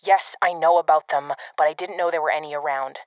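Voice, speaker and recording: A woman's voice: low voice, fairly even intonation, fast talker, no room sound. An actress playing a sardonic, blunt female secret agent in an audio drama, cornered and unravelling, heard through a radio filter. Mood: tense, wry. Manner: blunt, terse, swearing. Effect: radio transmission filter. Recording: tinny narrow-band radio with music.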